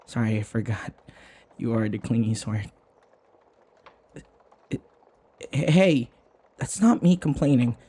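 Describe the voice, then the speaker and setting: Man playing a breathy, stammering, submissive servant. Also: medium pitch, animated, slow pace, pauses often, mellow. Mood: shy, resigned. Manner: stammering, hesitant, apologetic. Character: flustered, submissive young male servant devoted to his vampire mistress. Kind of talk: intimate boyfriend audio roleplay acting.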